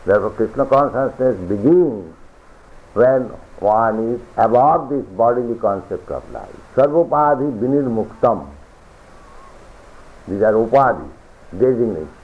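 A man giving a philosophical sermon as a spiritual teacher, lecturing deliberately, repeating phrases and reciting scripture. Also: medium pitch, expressive. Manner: deliberate, repetitive lecturing with chanted scripture quotes. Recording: tinny lo-fi archival recording.

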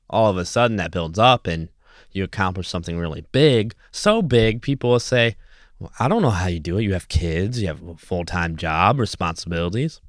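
A man with a lowish voice talks solo as a podcast host. His delivery is casual, earnest and motivational. The sound is dry, with no room on it. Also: fairly bright tone, dry recording.

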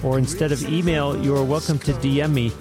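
A man's voice: mid-range voice, steady tone, quick pace, over music. A man hosting a podcast interview in an articulate, orderly way, with prepared introductions and questions. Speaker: podcast host.

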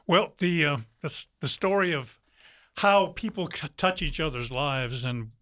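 A man's voice: on the high side, lively intonation, a little slow, pushed and bright, no echo, studio quality. A man talking earnestly and conversationally as a radio interview guest, repeating words for emphasis. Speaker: interview guest, community theater player. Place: radio studio.

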